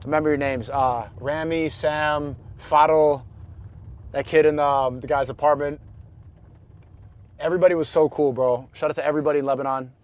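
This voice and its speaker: A man's voice: fairly high pitch, steady tone, normal speed, muffled recording. A man from New York livestreaming on city streets at night, chatting loosely with his viewers and with strangers he meets. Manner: loose, slangy, talks to chat and strangers. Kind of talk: casual street livestream chat.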